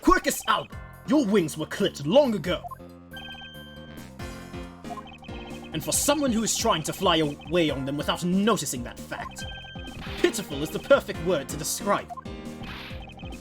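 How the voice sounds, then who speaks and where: A performer playing a proud, eloquent male prosecutor in a game fan dub, formal, deliberate and condescending. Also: mid-range voice, sing-song, music in the background. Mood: scornful.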